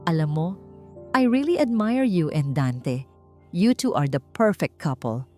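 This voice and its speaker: A woman's voice: deep voice, big pitch swings, a little slow, a little mellow, soft background music. A woman with a Filipino accent voicing a sweet-talking young socialite villainess in an audiobook drama. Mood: falsely friendly. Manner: sugary, switches between Tagalog and English.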